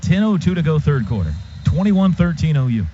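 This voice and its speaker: A man doing live radio play-by-play of a football game in clipped phrases that can turn excited. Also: a little high, a little fast, faint music behind. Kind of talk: live American football commentary. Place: stadium radio booth. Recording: noisy, muffled stadium radio broadcast.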